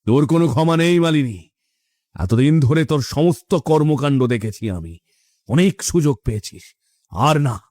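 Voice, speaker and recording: A man's voice: a little high, lively intonation, normal speed, a little mellow, no echo, clean studio sound, lightly acted. An actor playing the stern, reproachful ghost of a wronged father in a horror cartoon story. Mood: stern, bitter.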